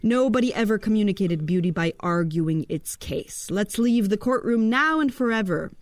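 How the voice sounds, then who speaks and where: A woman narrating a poetic spoken-word story in a slow, incantatory, drawn-out way. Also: medium pitch, animated, no room sound. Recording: faint instrumental music underneath.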